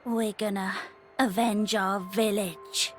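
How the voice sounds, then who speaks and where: A woman's voice: medium pitch, steady tone, takes it slowly, faint music behind, close to an everyday voice. A woman voicing a defiant, street-tough young boy thief who talks in a clipped way. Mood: sullen. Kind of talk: video game voice acting.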